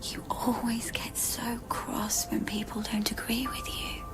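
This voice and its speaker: An actress playing a soft, plaintive and clinging lover in a radio play, questioning in an intimate, anxious way. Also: female voice, on the high side, level tone, measured pace, in a whisper, close to an everyday voice. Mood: tender, hushed.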